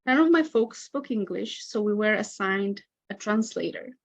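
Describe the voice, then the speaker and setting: A woman's voice: mid-range voice, expressive, normal speed. A woman in her thirties from Moldova with a Moldovan accent, whose first language is Romanian, giving a reflective, prepared academic conference talk about her own life. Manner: reflective, reads a prepared personal account. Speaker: conference presenter. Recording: dull lo-fi recording.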